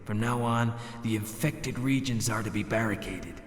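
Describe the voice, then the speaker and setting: Grim scornful theater guy from a game, man acting, lowish voice, big echoey hall. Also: moderate pace, close to an everyday voice. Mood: ominous. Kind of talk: theatrical scripted game dialogue. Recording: echoey hall with long reverb.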